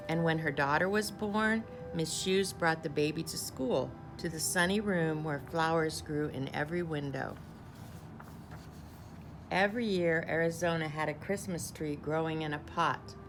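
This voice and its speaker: Female storybook reader, low register with gravelly creak, deliberate phrasing, clear music bed underneath. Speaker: teacher reading a picture book aloud. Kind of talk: storybook read-aloud.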